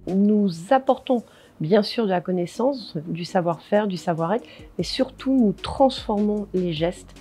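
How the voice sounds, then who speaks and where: A woman's voice: medium pitch, expressive, a little slow, dark tone, husky, faint music behind. A middle-aged woman, a training center director, speaking confidently and emphatically in an interview.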